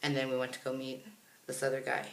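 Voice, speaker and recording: A man's voice: medium pitch, monotone, fast talker. An American man telling a casual, rambling story for a vlog, chatty and often correcting himself. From Kansas, United States. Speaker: vlogger. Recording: roomy, echoey sound.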